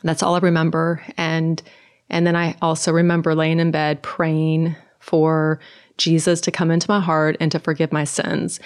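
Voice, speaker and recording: A middle-aged woman from Norway with a Norwegian accent, soft-spoken and hesitant, telling her personal story in a conversation. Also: low-pitched, monotone, normal speed, clean tone. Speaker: guest.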